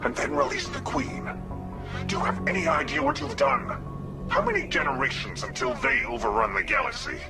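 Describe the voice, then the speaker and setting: High, gravelly, indignant alien politician. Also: male voice, huge pitch swings, brisk, over music, heavily acted. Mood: outraged. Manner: scolding, indignant. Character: outraged, haughty male alien politician. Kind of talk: video game acting.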